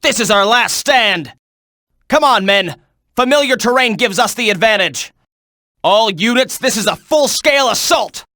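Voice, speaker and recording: An actor voicing a military soldier in a video game, giving urgent, clipped combat reports and orders. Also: male voice, sits high, lively intonation, measured pace, bright, forceful tone, no echo, clean studio sound, heavily acted. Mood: urgent, commanding. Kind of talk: video game battle voice clips.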